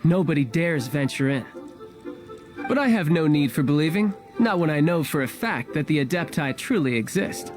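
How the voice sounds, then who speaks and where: A man playing a charming, sly young villainous diplomat in a video game, breezy, teasing and smoothly persuasive. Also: fairly high pitch, animated, moderate pace, lightly acted. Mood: knowing, teasing. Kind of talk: video game character acting. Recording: music behind the voice. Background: game music.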